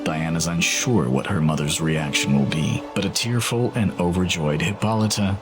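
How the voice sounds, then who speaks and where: Really deep guy narrating a dramatic comic story, scripted, big loud music underneath. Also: normal speed.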